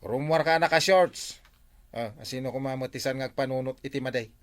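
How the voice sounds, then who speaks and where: Man acting protesting Ilocano husband, medium pitch. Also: moderate pace, natural voice. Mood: evasive, teasing. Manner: sweet-talking, protesting, exclaiming. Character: flirty, exasperated husband, easily embarrassed by his wife. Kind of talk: Ilocano comedy drama acting.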